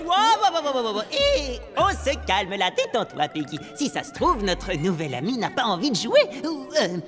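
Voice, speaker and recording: A woman voicing an excitable, doubtful young microbe in a French cartoon dub, slangy and protesting, with long reverb. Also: on the high side, moderate pace, faint music behind. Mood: alarmed, doubtful.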